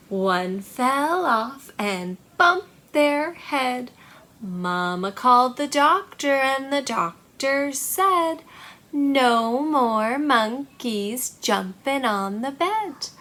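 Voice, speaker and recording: Bright, very animated woman hosting children's storytime. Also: sits high, extremely slow. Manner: sing-song, animated delivery aimed at small children; simple, encouraging phrases with direct questions to children. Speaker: children's librarian, storytime host. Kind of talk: children's storytime presenting with songs.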